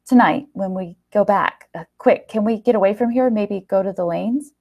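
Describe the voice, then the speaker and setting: A woman's voice: mid-range voice, normal speed, darkish tone, voice pushed up, close to an everyday voice. A woman voicing an evasive groom, smug yet hesitant and placating when cornered. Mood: urgent.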